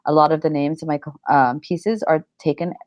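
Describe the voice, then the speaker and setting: A woman's voice: deep voice, fairly even intonation, moderate pace, dry recording, lo-fi sound. A middle-aged woman chatting casually in an interview, rambling informally with lots of "like" and "you know". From United States.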